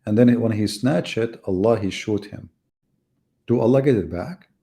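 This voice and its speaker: A man's voice: lowish voice, normal speed, gravelly, mellow. A middle-aged man hosting a livestream, taunting his audience with sarcastic rhetorical questions. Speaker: livestream host. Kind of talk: mocking livestream monologue.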